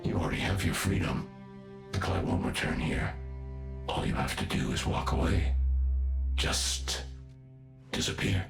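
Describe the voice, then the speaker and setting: An actor playing a captive villain commander, smug, menacing and condescending, who taunts slowly and formally in a video game. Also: male voice, echoey room, music in the background, natural voice. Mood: smug taunting. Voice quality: deep gravelly.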